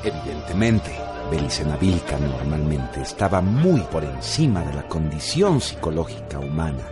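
Man, deep voice, solemn radio drama narration, music bed. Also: sing-song, normal speed.

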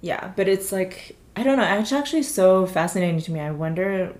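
A woman's voice: mid-range voice, animated, brisk, darkish tone. A woman from China, a guest in a casual chat, who talks in a chatty, thoughtful way with frequent fillers.

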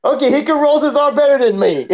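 A man's voice: extremely high pitch, lively intonation, fast, muffled recording. A man co-hosting a wrestling podcast, chatting about sport in a casual, slangy way.

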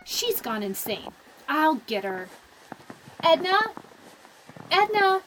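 A woman playing a jittery, snappish, stubborn young working woman in a radio horror drama, emotional and sharp-tongued. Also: high-pitched, very animated, slow, slight background noise, full character voice, rough recording. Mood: alarmed.

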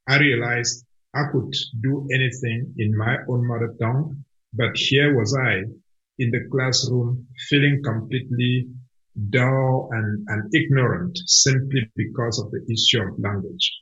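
A man's voice: mid-range voice, measured pace, a bit bright. A man from Cameroon, a mission organization director, earnestly answering questions in an online interview with frequent restarts. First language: a Cameroonian language. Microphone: video call. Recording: dull lo-fi online call.

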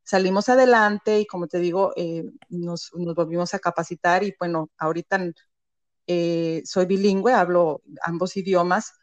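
A Mexican woman with a lower voice talks through her story as a guest on an interview. She speaks reflectively, often pausing on "eh" and ending thoughts with a questioning tag. The sound is dry and close. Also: steady tone, no room sound, highs cut off. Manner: reflective, with frequent "eh" and "¿no?" tags. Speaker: business founder, guest. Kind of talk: conversational interview.

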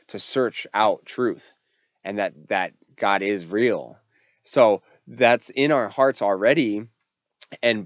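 A man's voice: lowish voice, no room sound, dull audio. A pastor hosting a faith podcast, a man who is sick with a congested, nasal voice and rambles with many fillers and restarts. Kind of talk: casual faith podcast talk.

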